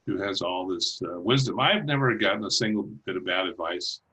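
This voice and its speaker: A man speaking as a guest over a video call, talking haltingly with many restarts and light laughs. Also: deep voice, animated, bright, forceful tone, husky. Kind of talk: casual chat.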